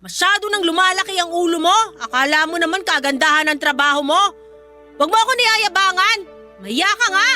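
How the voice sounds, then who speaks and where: A woman's voice: very high-pitched, lively intonation, fairly quick, pushed and bright, soft background music, full character voice. An actress playing a domineering, resentful middle-aged Filipino mother who scolds and accuses in a radio drama. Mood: scornful. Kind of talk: emotional radio drama acting.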